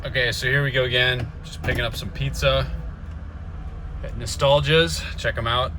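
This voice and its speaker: A man's voice: mid-range voice, fairly even intonation, normal speed. A young man talking casually and unscripted to his vlog in a laid-back, wry way, recorded in a van. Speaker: vlogger documenting DIY van repairs. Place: mostly inside a van, often while driving. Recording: loud vehicle and road noise.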